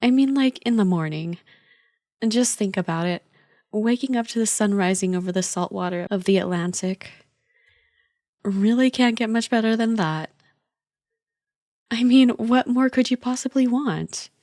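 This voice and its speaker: A woman's voice: fairly high pitch, moderate pace, pauses often, darkish tone, dry recording, studio quality, lightly acted. A woman playing a friend's teasing older sister in a casual, intimate ASMR roleplay, chatty, playful and reassuring. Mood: pleased, upbeat.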